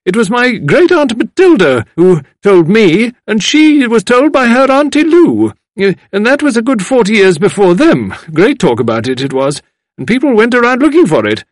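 A man's voice: high voice, sing-song, normal speed, dry recording, studio quality, pitched above the performer's own voice. A man voicing a grim, scornful older woman from a novel who talks in a dismissive, know-it-all way. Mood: scornful, insistent. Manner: emphatic, dismissive.

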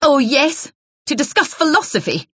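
A woman's voice: mid-range voice, sing-song, moderate pace, slightly rough, no room sound, highs cut off, voice pushed up. A woman voicing a hostile, jealous wife who accuses and sneers down the phone. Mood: accusing.

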